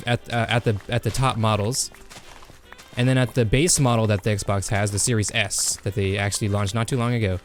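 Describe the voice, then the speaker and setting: A young man hosting a casual gaming chat, excitable, swearing and saying "bro" a lot. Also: lowish voice. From Kansas. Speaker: podcast host.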